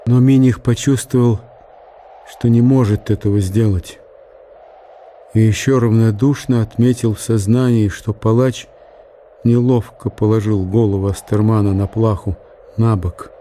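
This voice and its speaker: A man's voice: on the low side, steady tone, unhurried, dark tone, a little hiss or hum. A man narrating a radio drama in a scripted, storytelling style.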